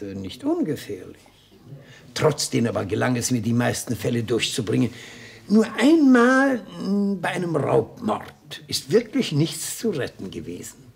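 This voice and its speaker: Creaky old lawyer in a radio drama, genial n fussy, savours his words, bit cautionary. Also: mid-range voice, full character voice. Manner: courteous, savouring, expansive, admonishing. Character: elderly retired defence lawyer, genial, fussy, cautionary gourmet. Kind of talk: German radio play acting.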